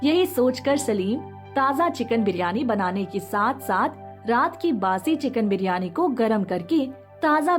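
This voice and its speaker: A woman reading a scripted moral story as its narrator. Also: fairly high pitch.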